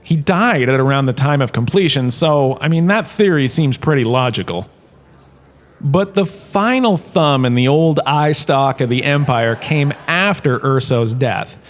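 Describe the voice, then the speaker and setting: A man's voice: medium pitch, lively intonation, unhurried, lightly acted. An actor playing a chatty, self-important male professor in a comic audio drama lecture, with a theatrical, conversational manner. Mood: wry, emphatic. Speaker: lecturer, host of the show.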